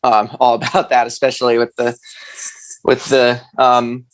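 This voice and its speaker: Male podcast guest, medium-pitched, conversational and self-effacing, feeling his way through sentences in relaxed chat. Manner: halting, self-deprecating, conversational. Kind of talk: casual chat.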